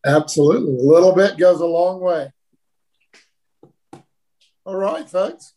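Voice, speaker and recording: A man co-hosting a webinar, briskly organizing who speaks next. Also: high-pitched, animated, mellow. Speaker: webinar co-host.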